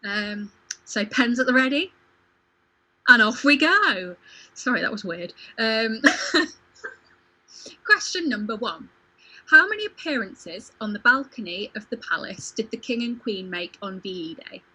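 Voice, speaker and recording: A woman's voice: a little high, animated, unhurried, bright, forceful tone, husky, rough recording. A woman running a casual quiz on a livestream as quizmaster, playful and jokey. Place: home video call.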